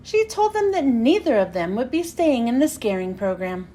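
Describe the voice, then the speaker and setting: A woman reading a children's storybook aloud as a read-aloud host, with expressive, clearly phrased storytelling. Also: on the high side, normal speed.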